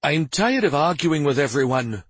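A man performs a young fantasy prince with a short fuse and a brooding streak. The voice sits above the reader's usual pitch and is slightly husky, swinging widely as he argues in a defensive, snappish way. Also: lively intonation, unhurried, no echo, full character voice. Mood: angry. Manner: snapping, argumentative.

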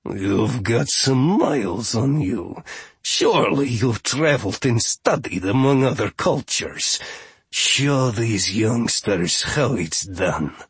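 An ancient, pedantic steward of the catacombs, as a male narrator plays him. He speaks very slowly in a rumbling, grumbling voice with big swings of pitch. The courtesy is ominous and easily tips toward rage. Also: animated, husky, no room sound, full character voice. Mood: stern. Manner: pedantic, ominously polite, drawn-out.

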